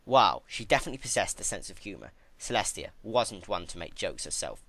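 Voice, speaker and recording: A man narrating a dark story in a theatrical, intense, scripted dramatic style. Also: sits low, very animated, fairly quick, no echo. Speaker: fan fiction narrator.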